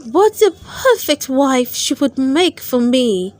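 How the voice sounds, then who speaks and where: A woman's voice: high voice, big pitch swings, slow, a little mellow, no room sound, heavily acted. A woman voicing a brave, lovesick little toy soldier in a storybook, with a wistful, sighing manner. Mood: longing, sighing.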